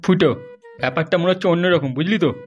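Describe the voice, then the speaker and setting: A man's voice: on the high side, fast, faint music behind, close to an everyday voice. A man playing a bossy, loyal young friend in a comedy cartoon, urgent and insistent. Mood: urgent advising.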